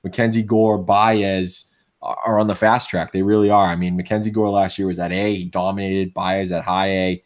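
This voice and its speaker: A man with a Boston accent co-hosting a casual sports podcast, opinionated and full of restarts, with a voice going hoarse. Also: low voice, level tone, moderate pace. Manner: opinionated, many restarts and fillers. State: losing his voice. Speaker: podcast co-host.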